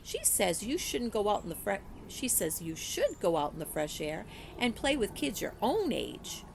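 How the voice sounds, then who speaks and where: A woman's voice: mid-range voice, very animated, moderate pace, gravelly, slight background noise. A woman voicing a taunting, tattling little boy in a storybook, with scolding, sing-song threats. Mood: nagging.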